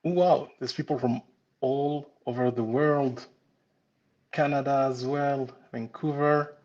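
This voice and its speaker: A man with a French accent chats brightly with his live audience, calling out viewers as they join. His voice sits at an ordinary middle pitch. The stream audio is a little muffled and lo-fi. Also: fairly even intonation, takes it slowly. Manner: upbeat, greets viewers one by one. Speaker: pastry instructor. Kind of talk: live-stream greeting chat. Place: home kitchen.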